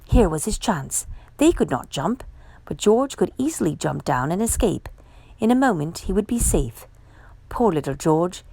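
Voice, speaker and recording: Expressive low-pitched woman reading a storybook. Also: moderate pace, vocal fry, breathy edge, dry recording. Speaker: storytime host reading a picture book aloud. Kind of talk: expressive children's read-aloud narration.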